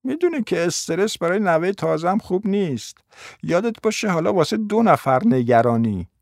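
A man's voice: high-pitched, big pitch swings, no room sound, clean studio sound, voice pushed up. A man voicing a brash, sarcastic, defiant old grandmother in a storybook, with a scolding, grumbling manner. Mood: smugly chiding. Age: older.

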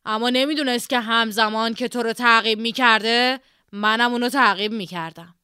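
A man's voice: very high-pitched, steady tone, sharp tone, clean tone, dry recording, clean studio sound, pitched above the performer's own voice, full character voice. A man voicing a sly, smug young schemer in a storybook reading. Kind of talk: audiobook character voice.

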